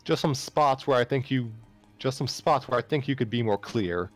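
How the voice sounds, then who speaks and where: A man's voice: mid-range voice, moderate pace, lightly acted. A man playing a kindly, formal male university teaching assistant, earnest and encouraging, in a story reading. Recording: music playing behind the voice.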